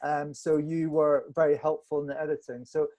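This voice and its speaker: A man speaking as a film director in a casual panel interview, with halting, hesitant phrasing. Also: fairly high pitch, level tone, warm tone.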